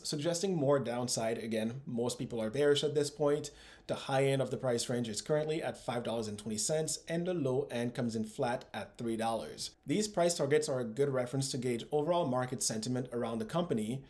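A man in his thirties speaks to camera as the host of an investing channel. His pitch sits in a middle range, and his scripted delivery is upbeat, with emphatic phrasing. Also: a little fast, darkish tone. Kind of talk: scripted video commentary to camera. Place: small room at home, indoors.

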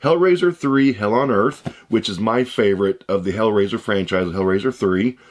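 A man in his thirties with a hoarse voice he says he is losing, chatting casually as he unboxes items at a desk indoors. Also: on the low side, normal speed. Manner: chatty, rambling, upbeat. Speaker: unboxing channel host. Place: room with shelves of shoe boxes, indoors.

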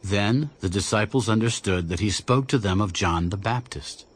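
A man's voice: lowish voice, normal speed, husky. A man giving scripted, dramatic narration of scripture as a narrator. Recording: loud music behind the voice.

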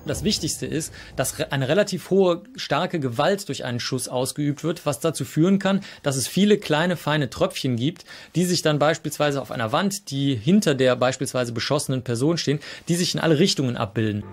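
Brief: higher male interviewee, halting explanatory speech, faint music beneath, set back from the mic. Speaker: forensic expert interviewee.

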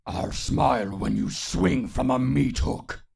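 A man's voice: mid-range voice, animated, slow pace, vocal fry, a little mellow, slightly rough, dry recording, clean studio sound, full character voice. Man as a sneering, gritty mercenary. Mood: mocking threat. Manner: taunting, mocking. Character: crude, sneering male mercenary criminal. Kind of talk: fan impression of video game villain.

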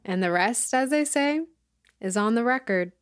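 A woman playing a solemn documentary podcast host, delivering scripted narration in a measured way. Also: a little high, animated, natural voice. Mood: reflective. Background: music.

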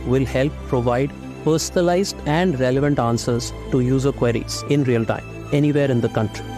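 A man's voice: medium pitch, normal speed. A middle-aged man with an Indian accent speaking as an executive in a corporate video interview. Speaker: company executive. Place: office indoors. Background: prominent music.

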